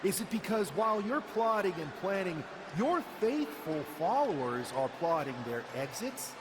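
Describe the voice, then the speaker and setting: A man's voice: sits high, very animated, normal speed. A man playing a self-assured wrestler in a video game cutscene, pointedly mocking a rival. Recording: long hall reverb, noisy background.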